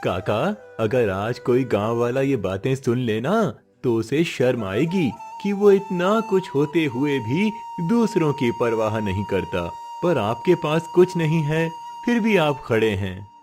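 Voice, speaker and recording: A man's voice: medium pitch, lively intonation, measured pace, hardly any pauses, natural voice. A man playing a concerned, admiring young village man in a moral story, speaking earnestly and emphatically. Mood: concerned, indignant. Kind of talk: Hindi moral story voice acting. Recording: music behind the voice.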